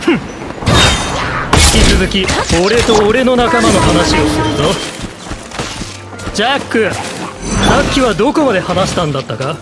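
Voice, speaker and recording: A man's voice: high-pitched, lively intonation, normal speed, pauses often. A man playing a boastful, self-important veteran adventurer in an anime game, telling his legend dramatically. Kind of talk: Japanese anime game voice acting. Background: game music.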